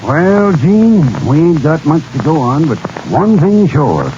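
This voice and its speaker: Mellow, folksy western sheriff. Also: male voice, on the high side, big pitch swings, unhurried, dull audio. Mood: matter-of-fact. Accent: American. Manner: folksy, matter-of-fact. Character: plain-spoken, easygoing male small-town western sheriff. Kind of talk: 1940s radio western acting. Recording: faintly noisy vintage radio broadcast.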